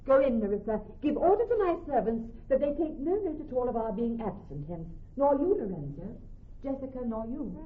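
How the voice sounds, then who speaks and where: A woman's voice: a little high, big pitch swings, fairly quick, slight background noise. A woman playing a witty, commanding young noblewoman in classical Shakespeare radio drama, poised, articulate and theatrical. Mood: gracious command. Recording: dull, lo-fi old radio recording.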